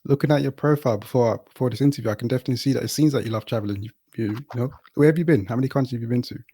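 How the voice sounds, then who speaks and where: A male host leads a casual interview, moving quickly through his questions. His voice has a dark, mellow tone and he talks at a brisk clip. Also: mid-range voice, husky. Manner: fast, easygoing questioning.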